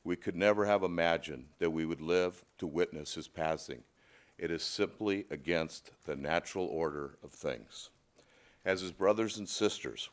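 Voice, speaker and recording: A man solemnly reading a prepared statement in court with measured phrasing. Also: deep voice. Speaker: spokesman reading a family statement. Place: indoor courtroom.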